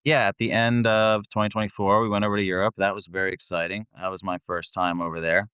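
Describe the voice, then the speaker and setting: A man's voice: lowish voice, flat delivery, fast talker, fairly bright tone, clear, resonant tone, dry recording, dull audio. A man who sings in a band, chatting as a guest and telling tour stories in a laid-back, slangy, sweary way. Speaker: band vocalist, interview guest.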